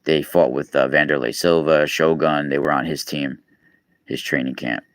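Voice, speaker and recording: A man's voice: a bass voice, steady tone, normal speed, fairly bright tone, no room sound, clean studio sound. A man, chatting casually on a live stream, rambling with many fillers and restarts. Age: 40s. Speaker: podcast host and radio guest. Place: East Coast, New York.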